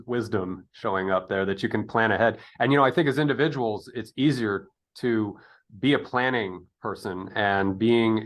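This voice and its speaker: Male podcast interviewer, lower-pitched voice, wordy and philosophical, thinking aloud in halting phrases. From United States. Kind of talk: casual reflective conversation.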